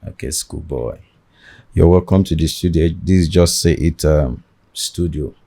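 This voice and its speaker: Nigerian man with a very low, deep voice, who hosts a podcast from a studio. He speaks in a formal, measured presenter style, welcoming his guest and addressing the viewers. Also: dark tone, breathy edge. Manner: formal, deliberate presenter delivery. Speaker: podcast host. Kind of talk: podcast interview.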